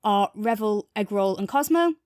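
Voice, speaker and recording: A woman's voice: medium pitch, lively intonation, normal speed, over a noisy crowd. A woman co-hosting a podcast, chatting casually and reflectively as she gives a review. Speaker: podcast co-host reviewing audio fiction.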